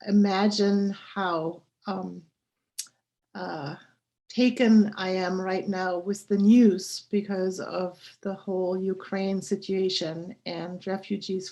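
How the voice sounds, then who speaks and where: A woman in her sixties from Germany with a German accent, a scientist speaking as a guest in an interview, pausing often with many fillers. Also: mid-range voice, dark tone, lo-fi sound. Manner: halting, many pauses and fillers. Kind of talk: interview chat.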